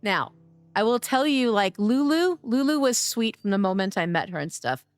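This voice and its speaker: A woman livestreaming a game, chatting playfully with her viewers, her voice a bit hoarse from days of streaming. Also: on the high side, animated, normal speed, no echo, faint music behind. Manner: playful, chatty, greeting chat by name. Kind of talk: casual game-stream chat.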